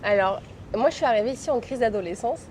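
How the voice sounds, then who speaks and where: Young French woman, French accent, lively talkative interview guest. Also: on the high side, quick pace, a loud crowd. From France. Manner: lively, chatty storytelling. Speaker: guest, real estate broker. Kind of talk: casual interview chat.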